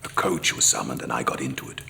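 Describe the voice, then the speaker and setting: An actor playing a worldly, wry gentleman narrating his memoirs theatrically, with a gravelly voice that drops toward a whisper. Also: rumbling low, expressive, quick pace, a little hiss or hum, exaggerated voice. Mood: wry, rueful. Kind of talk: dramatic first-person memoir narration.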